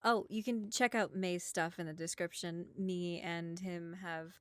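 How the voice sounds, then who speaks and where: A woman with a slightly low voice talks informally to her listeners. She sounds like an online creator giving a casual sign-off chat. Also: fairly even intonation, measured pace, smooth, no room sound. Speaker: voice actor and channel creator.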